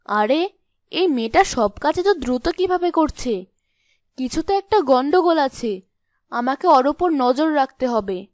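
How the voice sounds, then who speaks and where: Watchful folk-tale mother, played by a woman, high pitch, storybook delivery. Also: lively intonation, measured pace, a little mellow, no echo. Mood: suspicious.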